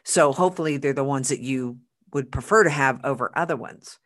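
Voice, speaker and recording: Brief: podcast host, emphatic, halting repeats, slows for quotes. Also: medium pitch, fairly bright tone. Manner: emphatic, repeats words, reads quotes slowly. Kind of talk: casual podcast chat.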